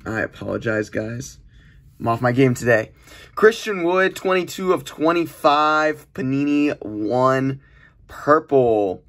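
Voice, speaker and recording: A man's voice: medium pitch, expressive, slow, a bit bright. A young man giving chatty, informal commentary as he hosts an unboxing video in a small room. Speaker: host of a trading card unboxing channel. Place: small indoor room at a desk. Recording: noisy room, crinkling packaging handling sounds. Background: clicks, crumpling and crinkling of packages.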